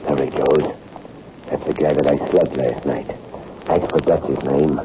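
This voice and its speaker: A man's voice: very deep voice, fairly even intonation, unhurried, heavy background noise. Tough gangster hitman, muffled old radio. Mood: menacing. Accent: American. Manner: tough, slangy street talk. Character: cocky hard-boiled gangster hitman, a murderous thug. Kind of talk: 1930s-1940s American radio crime drama acting.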